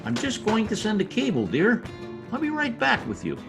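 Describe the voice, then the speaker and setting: A man's voice: sits high, huge pitch swings, moderate pace, vocal fry, music in the background, heavily acted. Man acting a pompous, wildly swooping radio-play diplomat. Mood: coaxing. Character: pompous, scheming Latin male diplomat of a small dictatorship. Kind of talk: old-time radio drama acting.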